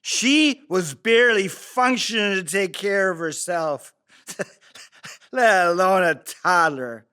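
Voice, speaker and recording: A man playing a crude, bitter, drunken father making excuses in a halting, rambling table-read monologue. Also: sits high, animated, sharp tone, smooth, full character voice. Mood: defensive, bitter. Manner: slurred, halting drunken delivery with rambling asides.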